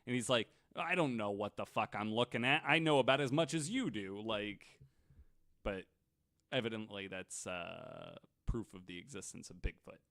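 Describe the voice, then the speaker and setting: A man's voice: lowish voice, moderate pace, gravelly, fairly bright tone, no room sound, clean studio sound. A man hosting a podcast, telling stories casually among friends and acting out both sides of retold dialogue, with some swearing. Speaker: podcast host.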